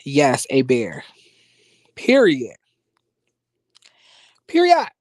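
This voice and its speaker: A man with an American accent chatting casually as a livestream host, in a sassy, expressive, slangy conversational way. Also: mid-range voice, extremely slow, no echo, clean studio sound. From United States, born in rural Florida and raised in Las Vegas. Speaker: YouTube reviewer and livestream host. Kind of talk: casual livestream chat with viewers.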